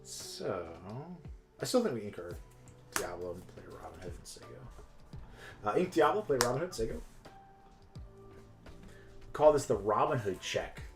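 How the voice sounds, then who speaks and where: A man's voice: fairly low pitch, big pitch swings, normal speed, pauses often, over music. A man streaming a card game, chatting casually and joking with his opponent. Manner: joking, upbeat, laughs often. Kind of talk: casual chat while streaming.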